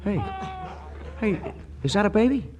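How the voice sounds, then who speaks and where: A man's voice: sits high, expressive, a little slow, a little mellow. A man playing a breezy, nosy young suitor in a 1940s radio comedy, slangy and incredulous. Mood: suspicious. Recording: very noisy old radio broadcast.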